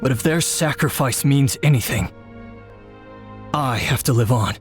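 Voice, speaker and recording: An actor playing a weary, duty-bound young male warrior in a video game, speaking gravely and earnestly. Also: mid-range voice, measured pace, soft background music, lightly acted. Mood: grateful, solemn.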